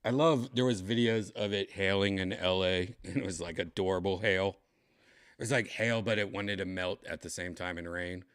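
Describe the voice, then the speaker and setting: A tipsy man with a raspy, dry-throated voice, chatting casually as a comedian hosting his livestream, rambling and clearing his throat often. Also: low voice, steady tone, moderate pace, fairly bright tone. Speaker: comedian streaming host.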